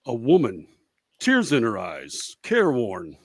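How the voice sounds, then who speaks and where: A man's voice: medium pitch, huge pitch swings, measured pace, husky, no room sound, clean studio sound, heavily acted. A man playing a roguish, bumbling fortune-telling professor in a comedic radio play, with hesitant patter and wry asides. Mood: sly, theatrical.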